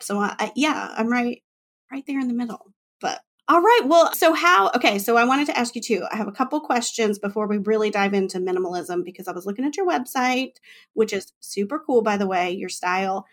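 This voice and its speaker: A woman in her late thirties hosting a casual interview, chatty and giggly, with quick self-mocking asides. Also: on the high side, lively intonation. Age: 30s. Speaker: podcast host.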